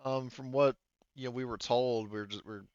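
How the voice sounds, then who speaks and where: A man's voice: mid-range voice, steady tone, normal speed, a little mellow, clean tone, dry recording, dull audio, close to an everyday voice. A man voicing an easygoing female soldier in a tabletop game, speaking in an offhand, hesitant, agreeable way. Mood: casual, agreeable. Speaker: game master voicing a character. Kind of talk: improvised tabletop roleplay dialogue.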